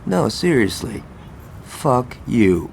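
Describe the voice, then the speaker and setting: A man's voice: mid-range voice, very animated, takes it slowly, vocal fry, darkish tone, slight background noise, exaggerated voice. A man playing a cocky, foul-mouthed gangster in a video game cutscene, brash and wisecracking. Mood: defiant.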